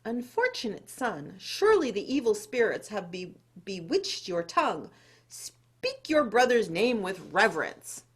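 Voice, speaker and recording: A woman's voice: mid-range voice, very animated, a little slow, slightly rough. A woman voicing a stern, formal mother in a folktale, speaking in a grand, scolding manner. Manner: scolding, grand, archaic phrasing.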